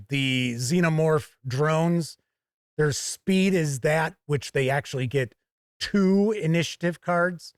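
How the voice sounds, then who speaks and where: A man giving an explanatory game review, talking through rules in short, measured, emphatic phrases. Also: a little high, smooth, no room sound, studio quality. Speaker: board game reviewer and host.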